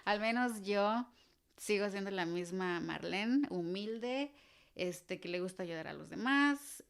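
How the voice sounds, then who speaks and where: A woman's voice: mid-range voice, slow, vocal fry, clean tone. A Mexican woman in her thirties, a podcast guest talking in an earnest, easygoing way that often trails off and restarts. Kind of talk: casual interview chat.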